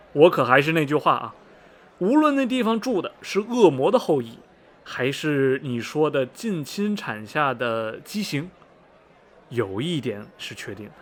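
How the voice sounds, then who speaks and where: Folksy, animated ticket agent, acted by a man. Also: a little high. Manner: folksy, emphatic, cautioning. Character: chatty male railway ticket agent, cautioning, folksy. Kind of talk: radio drama acting.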